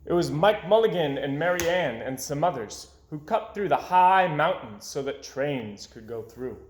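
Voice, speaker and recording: Male children's storyteller: lighter high-ish pitch, very animated, dramatic, hushed endings. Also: slight background noise. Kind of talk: expressive children's story read-aloud. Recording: echoey room.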